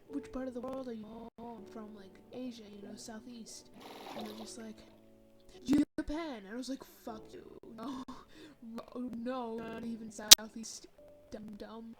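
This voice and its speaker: A young woman from Thailand streaming a game, telling a story in a chatty, rambling way full of fillers and asides. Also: a little high. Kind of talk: casual storytelling while gaming.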